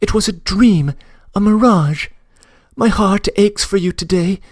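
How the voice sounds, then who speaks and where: A male reader voices a tearful young woman who begs forgiveness and swears her love. Her voice sits well above his own and leans forward with emotion. The delivery is slow, and the recording is close and dry. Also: high voice, dry recording, voice pushed up. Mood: pleading. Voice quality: emotional, imploring. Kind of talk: audiobook reading.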